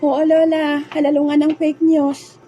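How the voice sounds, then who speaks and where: Woman playing a high-pitched young granddaughter. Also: level tone, a little slow, dark tone, lo-fi sound, faint music behind. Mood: teasing, chatty. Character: sensible young granddaughter, teasing, gently scolding. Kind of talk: comedic audio drama acting.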